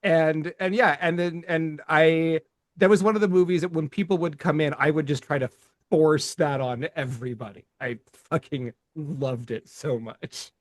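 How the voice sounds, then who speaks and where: Excitable, rambling man with a higher voice. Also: moderate pace, no room sound, studio quality. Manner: rambling, many restarts and swearing, excitable. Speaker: podcast co-host. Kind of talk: casual movie podcast chat.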